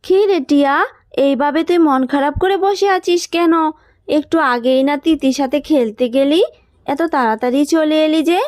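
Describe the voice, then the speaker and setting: A woman plays a careworn cartoon animal mother in a very high voice. She fusses and scolds in an exasperated but motherly way. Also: moderate pace, darkish tone, lightly acted. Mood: concerned. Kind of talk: Bengali children's cartoon voice acting.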